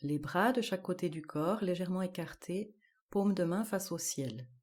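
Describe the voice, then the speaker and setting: A woman guiding a yoga nidra relaxation, giving calm, measured instructions in short, spaced-out phrases. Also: fairly low pitch. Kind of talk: guided relaxation meditation.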